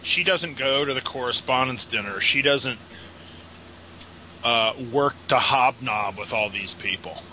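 Very bright-voiced male co-host. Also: mid-range voice, measured pace, slight background noise, low-quality recording. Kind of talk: casual political chat.

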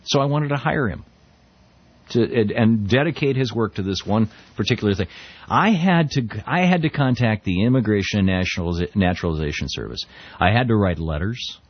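Brief: middle-aged man hosting talk radio, lively pitch, conversational with restarts, dull dry sound. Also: a little fast, breathy edge, no echo. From United States. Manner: conversational, with restarts and quoted readings. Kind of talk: political commentary monologue.

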